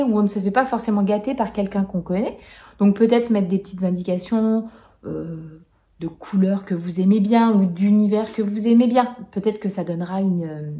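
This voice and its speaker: A woman's voice: mid-range voice, a little mellow. A woman in her forties chatting casually to camera about knitting at home, hesitant, with many fillers and small laughs. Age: 40s. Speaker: knitting vlogger.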